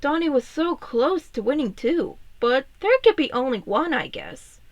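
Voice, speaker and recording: A woman's voice: high-pitched, lively intonation, unhurried. A woman narrating a scripted story as a fan fiction reader.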